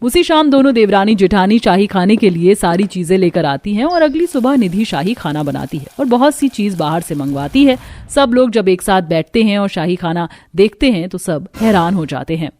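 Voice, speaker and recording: A woman's voice: medium pitch, animated, moderate pace, hardly any pauses, mellow. A woman reading scripted narration for a cartoon story as its narrator.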